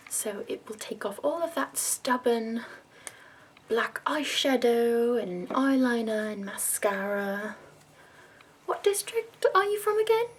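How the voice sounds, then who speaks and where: A woman in her twenties voicing a prim, fussy, upbeat woman makeup escort from the capital, in a soft whispered ASMR roleplay. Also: on the high side, slight background noise.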